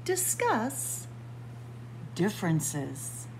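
A woman's voice: medium pitch, huge pitch swings, drawn-out words, slight background noise, poor audio. A middle-aged woman librarian reading a picture book aloud to children, word by word, with teacherly emphasis, recorded close on a webcam in a small library room. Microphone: webcam or phone close up at arm's length.

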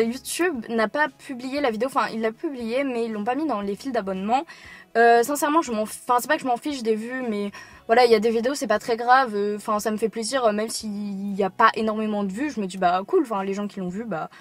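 An eighteen-year-old woman from France, talking casually to camera from a parked car, rambling with many fillers and restarts. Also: a little high. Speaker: YouTuber. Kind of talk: casual talking-head vlog.

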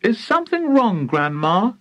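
A man voicing a cheeky, confident young boy in a children's storybook, with loud, bouncy exclamations. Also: high-pitched, wildly swooping pitch, measured pace, husky, dry recording, pitched above the performer's own voice, full character voice. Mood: polite concern. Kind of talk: animated children's storybook character reading.

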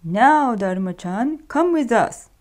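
A woman voicing a gruff, jolly father thunder spirit in a storybook reading, speaking in a hearty, friendly way. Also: a little high, lively intonation, measured pace, a little mellow. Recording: faint music behind.

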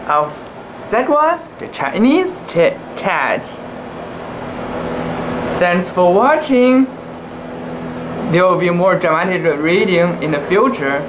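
A young man with a high, androgynous pitch reads a storybook dramatically. He goes slowly and expressively, swinging up and down, often cooing. It is recorded outdoors on a camera with steady noise behind him. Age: young adult. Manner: expressive, cooing, drawn-out words. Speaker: storybook reader. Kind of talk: dramatic children's book reading with asides. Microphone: camera at close range.

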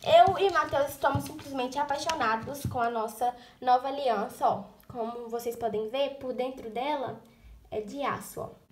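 Gushing high-voiced young woman vlogging. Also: moderate pace, noisy background. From Brazil. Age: young adult. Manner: chatty and gushing, addresses viewers as "gente". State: stuffy nose from a cold. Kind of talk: casual vlog chat to camera. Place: home rooms indoors. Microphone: phone camera at arm's length.

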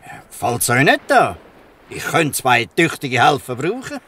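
A man's voice: medium pitch, very animated, a little slow, pushed and bright, husky, exaggerated voice. An actor playing a fatherly, shrewd, bluff fisherman in a Swiss German radio play. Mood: kindly, persuasive. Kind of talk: Swiss dialect radio drama acting. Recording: slightly distant from the mic.

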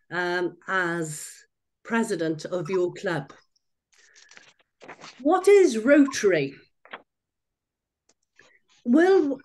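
A woman with a croaky, unwell voice giving an informal training presentation over a video call. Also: mid-range voice, darkish tone. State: croaky voice from illness. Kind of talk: informal online presentation with slides. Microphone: video call microphone.

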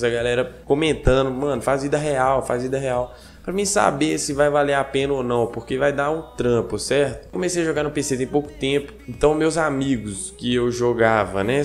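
A young man hosting a gaming channel, talking casually to his viewers with a hoarse, stuffed-up nasal voice from a cold, slangy and chatty, often checking in with them. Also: mid-range voice. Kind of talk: casual vlog talk to viewers. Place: home gaming setup.

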